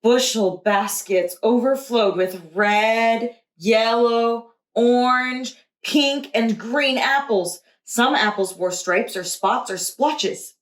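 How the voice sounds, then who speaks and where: A woman teacher reading a picture book aloud to young children with deliberate expression. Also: fairly high pitch. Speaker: preschool teacher. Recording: roomy echo.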